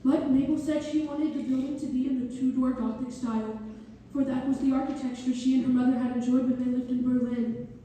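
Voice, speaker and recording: A woman's voice: sits high, level tone, fairly quick, warm tone, lightly acted. Woman playing an earnest young architect, echoey hall. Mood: fond, earnest. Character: earnest young male architect fondly recalling a patron. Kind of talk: dramatic read-through on stage. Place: reverberant hall, community theater performance. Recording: echoey hall from far off, faint noise.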